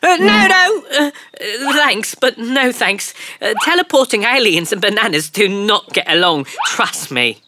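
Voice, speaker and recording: A woman voicing a friendly, hurried green alien in a children's cartoon, quick and excitable. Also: fairly high pitch, background noise. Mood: explaining. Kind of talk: cartoon voice acting.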